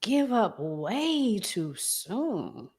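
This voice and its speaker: A woman with a Southern American accent hosting a casual talk show, chatty and prone to pausing and restarting. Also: medium pitch, sing-song, a little mellow, husky. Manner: chatty, pauses and restarts a lot. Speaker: show host. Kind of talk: casual podcast chat.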